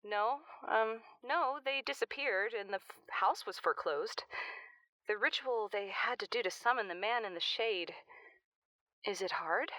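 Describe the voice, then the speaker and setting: Woman acting bright, uneasy radio caller. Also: mid-range voice, no echo. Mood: puzzled, curious. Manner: hesitant, halting. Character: uneasy, suspicious woman calling a supernatural radio show. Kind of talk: audio drama acting.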